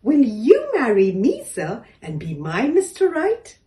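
A woman's voice: a little high, huge pitch swings, takes it slowly, dark tone, exaggerated voice. A sweet, gushing window lady, as a woman storyteller puts her on, raised and lilting. She sounds eager and friendly. It is heard in an echoey room from a distance. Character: sweet, bright-spoken female window.

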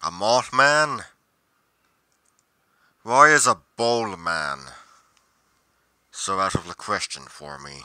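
A man playing a brooding, solitary monster investigator in a roleplay monologue, musing deliberately with pauses mid-sentence. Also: on the low side, animated, pushed and bright, dry recording, clean studio sound, full character voice. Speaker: roleplay performer.

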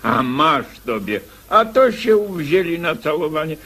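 Gruff teasing old uncle, radio comedy; gravelly, wildly swooping pitch. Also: male voice, big pitch swings, measured pace, bright, forceful tone, exaggerated voice. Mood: jovial teasing. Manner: blustery, repeats words. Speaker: actor in a radio play. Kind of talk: Polish stage comedy radio acting. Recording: noisy radio play recording.

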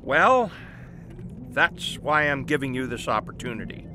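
An actor playing a demanding, self-important newspaper editor with a curt, commanding manner in an audio drama. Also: male voice, mid-range voice, big pitch swings, unhurried, noisy background. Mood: smooth, persuasive. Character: brusque, demanding male newspaper editor boss.

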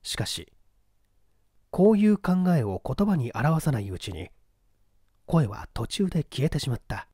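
Male audiobook narrator, dramatic literary mystery reading, expressive pitch movement, dry sound. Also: measured pace, long pauses, slightly rough, no echo.

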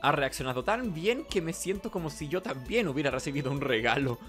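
Animated male game streamer. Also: fairly high pitch, brisk. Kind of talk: video game playthrough with read-aloud narration and casual commentary. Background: game music.

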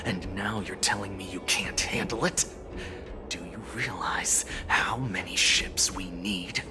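A cold armored plotter in a game, low voice, slow hushed delivery, music behind. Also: male voice. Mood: hushed scolding. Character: menacing armored male conspirator, stern and cold.

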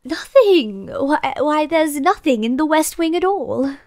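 A woman's voice: sits high, expressive, slow, darkish tone, no room sound, clean studio sound, heavily acted. A woman voicing a fussy, motherly enchanted household object, flustered and proper, in an audio drama.

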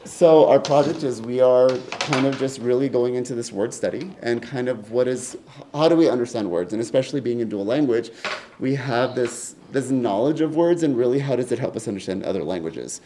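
Mellow-voiced man teacher, distant. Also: medium pitch, fairly quick, a little hiss or hum. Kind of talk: casual explanation. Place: indoor classroom.

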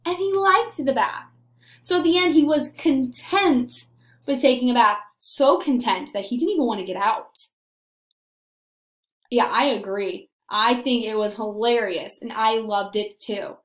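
A young woman, a teacher, explaining a story to small children in simple, expressive talk. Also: a little high, a little slow, reverberant. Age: young adult. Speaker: elementary school teacher. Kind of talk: read-aloud discussion addressed to young children. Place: small plain room indoors.

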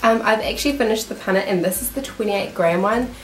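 A woman's voice: mid-range voice, fast, slight background noise. Young kiwi woman with a cold, nasal, chatty beauty vlog talk, echoey little room. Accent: New Zealand. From New Zealand. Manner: chatty and enthusiastic, shows products to camera. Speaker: beauty vlogger. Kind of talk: casual product review talk. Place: small room indoors, seated. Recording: roomy echo.

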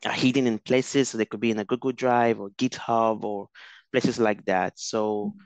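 A man's voice: fairly low pitch, level tone, moderate pace, clear, resonant tone, no room sound, rough recording. A young man from Nigeria, a researcher interviewed over a video call, speaking thoughtfully with many restarts and fillers. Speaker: guest researcher. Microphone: headset.

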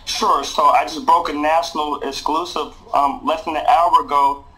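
A man's voice: a little high, fairly even intonation, normal speed, bright, forceful tone, a little hiss or hum, low-quality recording. An American man in his thirties giving a conversational live news report over a video call. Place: small indoor room.

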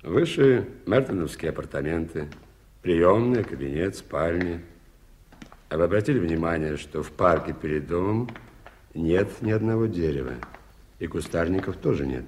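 An actor playing a polite, well-informed gentleman in a Soviet radio play, speaking in a measured, explanatory way. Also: low-pitched, expressive. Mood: earnest, explanatory. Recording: noisy 1980s radio play recording.

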